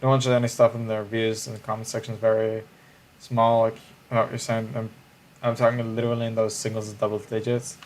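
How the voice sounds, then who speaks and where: A man's voice: lowish voice, little pitch movement, fast, a little hiss or hum, low-quality recording. A man, chatting casually on a livestream in a musing, hesitant way with frequent restarts. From Israel.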